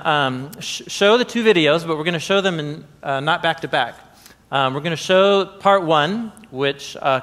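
A middle-aged man informally introducing an event on stage, speaking casually and haltingly. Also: fairly high pitch, fairly bright tone, in a large hall. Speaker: presenter. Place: theatre stage, indoors.

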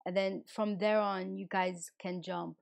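Woman, hoarse, gravelly, indignant, livestream host, rhetorical questions. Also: mid-range voice, level tone, measured pace. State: hoarse, losing her voice. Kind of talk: heated casual livestream rant.

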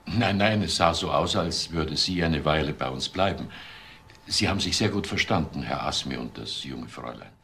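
An actor playing a deferential household servant and caretaker in a crime radio drama, explaining hesitantly and repeating words. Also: male voice, extremely low pitch, animated, moderate pace, vocal fry, fairly bright tone, a little hiss or hum, full character voice. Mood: hesitant, explanatory.

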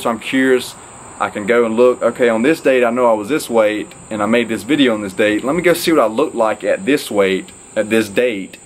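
A man's voice: lowish voice, a little hiss or hum. A young man talking casually to camera from an outdoor porch, rambling and upbeat with lots of fillers. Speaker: fitness vlogger. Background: crickets and insects.

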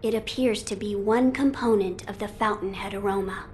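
A solemn young boy lord acted by a woman speaks in a video game. His delivery is formal, pensive and carefully measured, with faint ambient noise behind it. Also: mid-range voice, a little mellow, natural voice. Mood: grave, pensive. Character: young noble boy lord, grave and thoughtful.

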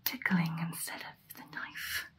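A woman's voice: unhurried, a bit bright, natural voice. A young woman playing a gleefully sadistic masked killer in an ASMR horror roleplay, whispering breathily and teasingly. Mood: gleeful taunting. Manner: teasing, taunting, singsong repetition. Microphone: close ASMR microphone.

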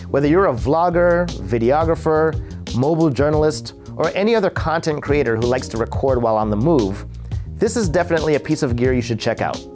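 A man's voice: mid-range voice, a little mellow. A middle-aged American man presenting a product review in scripted narration, recorded in a studio.

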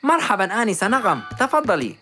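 Actor voicing a gentle cartoon fox inventor, kind and reassuring, lively delivery. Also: medium pitch, fast, loud music behind the voice, lightly acted. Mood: kindly. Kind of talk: cartoon dialogue.

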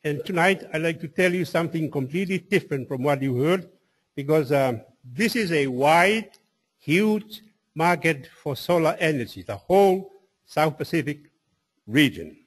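A man from Tonga with a Tongan accent and a husky voice, giving a public presentation. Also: a little high, lively intonation, normal speed. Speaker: presenter at a community meeting.

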